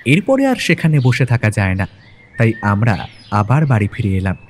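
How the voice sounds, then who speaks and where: A man's voice: lowish voice, wildly swooping pitch, moderate pace, slight background noise. A man narrating a scripted detective story in a dramatic storytelling style.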